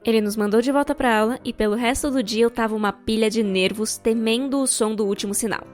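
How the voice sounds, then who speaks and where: High-pitched Brazilian woman narrating a story. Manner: expressive, theatrical, sarcastic. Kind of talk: scripted animated storytime narration, fan dub.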